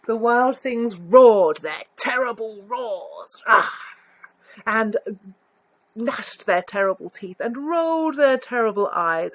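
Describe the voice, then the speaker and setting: A woman reading a picture book aloud to children, expressive, with little asides about the pictures. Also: medium pitch, a little hiss or hum, rough recording. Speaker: volunteer story reader.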